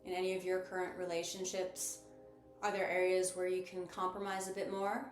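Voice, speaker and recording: A woman leading a guided meditation as host, speaking in a measured, instructive and gently encouraging manner. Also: fairly low pitch, completely flat delivery. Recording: roomy echo with music behind. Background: music.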